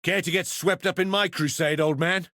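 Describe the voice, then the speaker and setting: An actor playing a gruff, sardonic fighter in a video game, speaking in blunt, colloquial barks. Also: male voice, sits high, steady tone, normal speed, sharp tone, no echo, studio quality. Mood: determined.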